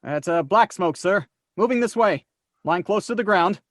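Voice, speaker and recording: A man's voice: sits high, expressive, moderate pace, no echo, clean studio sound. An actor playing a male spotter soldier in a radio play, calling out urgent reports to his officer. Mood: alarmed. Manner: urgent shouted reports ending in sir. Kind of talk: 1930s radio drama acting.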